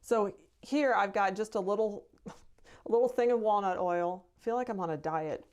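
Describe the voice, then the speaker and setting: A woman's voice: medium pitch, normal speed, a little mellow, smooth. A middle-aged woman painter hosting a casual live stream, talking in a chatty, rambling way. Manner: chatty, rambling, with laughs and fillers. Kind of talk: casual painting demo chat.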